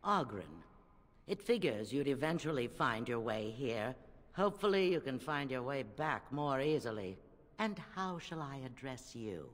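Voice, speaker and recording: A man's voice: medium pitch, big pitch swings, measured pace, a bit bright. A man playing a blunt, jaded recluse in a fantasy game, curt and dryly sardonic. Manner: curt, dry, formal. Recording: long reverberant hall.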